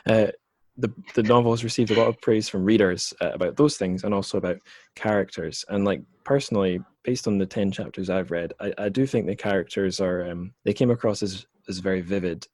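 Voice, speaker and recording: A man's voice: low-pitched, a little mellow, no room sound. A male podcast host talking casually with a guest, rambling with lots of fillers and self-corrections. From lived in China. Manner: rambling, many fillers and restarts. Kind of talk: casual interview chat.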